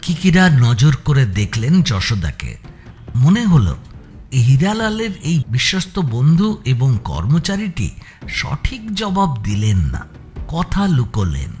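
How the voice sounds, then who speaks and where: Lively male suspense story narrator. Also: mid-range voice, takes it slowly, faint music behind. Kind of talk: scripted suspense audiobook narration.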